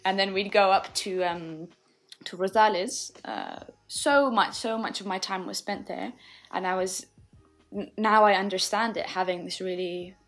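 Woman, young, podcast guest; thoughtful, unhurried, lots of ums and gentle laughs. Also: mid-range voice, steady tone. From England. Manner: thoughtful, frequent fillers and soft laughs. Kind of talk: casual reflective conversation.

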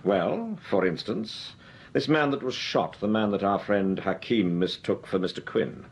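A man's voice: lowish voice, lively intonation, unhurried, gravelly, breathy edge, heavily acted. An actor playing a cool-headed, ironic male amateur detective in a radio drama. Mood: dry. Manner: dry, ironic. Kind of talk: 1940s radio acting.

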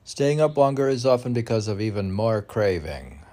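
A man's voice: fairly low pitch, very animated, normal speed, warm tone. A man informally reading poems and commenting on them in a rambling, conversational way, posing questions and answering them himself.

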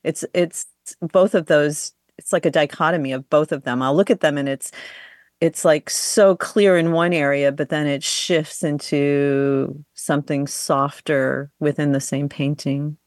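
A woman interviews in a conversational radio style, her pitch a little low. She sounds engaged and reworks her phrasing as she goes. The recording is dry, without audible room. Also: fairly even intonation, measured pace, no echo.

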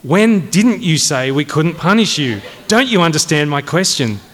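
A man's voice: fairly high pitch, very animated, moderate pace, fairly bright tone, pitched above the performer's own voice. A man voicing a bullying, irascible army colonel in a comic audiobook, barking and domineering. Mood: hectoring. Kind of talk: comic dramatised audiobook reading. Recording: long echoing hall reverb.

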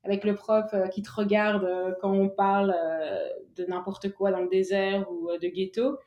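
A woman's voice: mid-range voice, level tone, normal speed, muffled recording. A woman in her thirties hosting a casual chat, speaking in a frank, playful and slangy way. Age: 30s. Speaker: host, interviewer. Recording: roomy, echoing sound.

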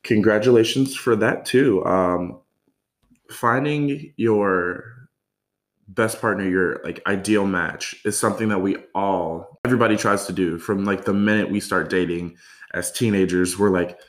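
A man's voice: fairly low pitch, measured pace. A man hosting a podcast, talking casually and filling his speech with likes. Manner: friendly, filler-heavy, says like a lot. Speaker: podcast host.

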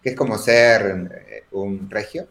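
Podcast guest: Córdoba-accented Argentine man, lowish voice, explanatory, echoey room. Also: unhurried, a bit bright, a little hiss or hum. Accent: Córdoba Argentine Spanish. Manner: explanatory, checks in with frequent tag questions. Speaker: startup founder guest. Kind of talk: podcast interview.

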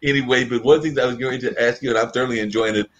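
A man's voice: mid-range voice, steady tone, racing, a bit bright, lo-fi sound. A man hosting a conversational interview, asking long, rambling, winding questions. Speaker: interview host.

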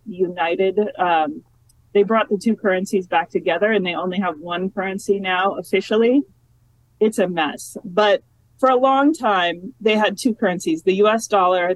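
A woman geography professor talking as an interview guest, chatty and relaxed, with lots of fillers and restarts. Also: mid-range voice, no room sound, poor audio. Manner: chatty, many fillers and restarts, laughs easily. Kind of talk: casual academic interview.

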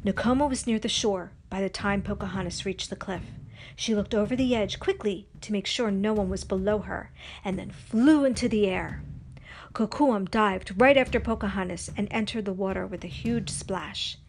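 A woman's voice: medium pitch, moderate pace. A woman narrating a scripted children's story as a storybook reader, with faint noise in the recording.

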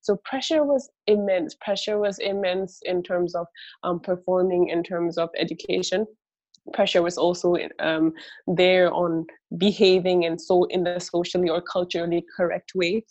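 A woman's voice: lowish voice, steady tone, moderate pace, a little mellow, dry recording, muffled recording. A young woman who grew up in Singapore, answering interview questions in a chatty, earnest way with lots of "you know". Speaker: guest, university lecturer.

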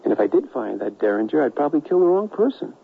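An actor playing an earnest, anxious writer caught up in intrigue in a western radio drama. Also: male voice, on the high side, very animated, quick pace, gravelly, breathy edge, full character voice. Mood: earnest, reluctant. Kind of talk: 1970s radio drama acting. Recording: tinny, hissy 1979 radio recording.

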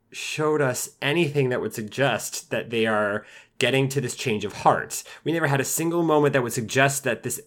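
A man's voice: medium pitch, fairly bright tone. A man giving a casual, conversational film critique, with frequent fillers and restarts. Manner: conversational, frequent fillers and restarts, mock voices when quoting characters. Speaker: film reviewer. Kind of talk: casual podcast discussion.

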